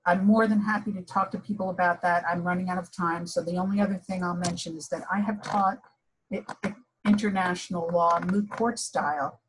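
A woman's voice: lowish voice, husky, low-quality recording. A woman law professor speaking informally on a conference panel over a video call.

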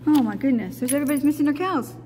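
A woman's voice: sits high, racing. A middle-aged woman filming herself on a phone indoors, chatting and asking curious, teasing questions. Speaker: vlogger filming a public building. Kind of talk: casual self-filmed narration. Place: indoor courthouse hallways. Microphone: handheld phone. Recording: echoey hallway, loud background noise.

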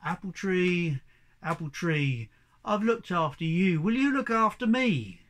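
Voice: mid-range voice, lively intonation, a little slow, natural voice. A storyteller of unclear sex voicing an earnest, kindly poor country farmer in a folk tale. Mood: tender. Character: gentle older brother, poor country farmer who tends his animals.

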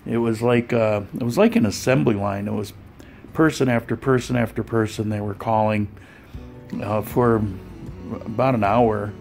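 A man with a low, gravelly voice tells his vlog story in a loose, chatty way, often pausing on "uh" and rephrasing mid-sentence. Faint guitar music plays softly behind him. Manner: relaxed, conversational, with frequent fillers and restarts. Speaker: vlogger. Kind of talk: voice-over narration of a clinic visit.

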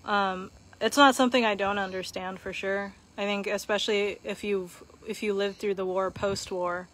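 A woman's voice: mid-range voice, fairly even intonation, normal speed, a bit bright. A young woman from Okinawa, Japan, chatting casually on a live stream outdoors, thoughtful, with many fillers. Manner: thoughtful, talks with frequent fillers and laughs. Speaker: vlog host. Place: outdoors under a concrete shelter in a grassy park. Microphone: phone held at arm's length.

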